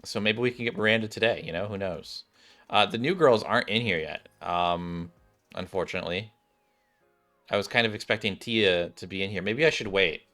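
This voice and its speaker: A man's voice: lowish voice, normal speed, a bit bright. A man hosting a gaming video, giving casual, chatty commentary addressed straight to his viewers. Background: game music.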